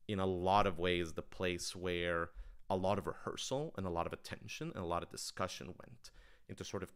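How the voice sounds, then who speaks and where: A man with a low-pitched voice speaks in a casual, off-the-cuff livestream style. He explains things thoughtfully and with some animation, often qualifying his words as he works toward the point. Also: fairly bright tone, clear, resonant tone. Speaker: writer-director answering audience questions.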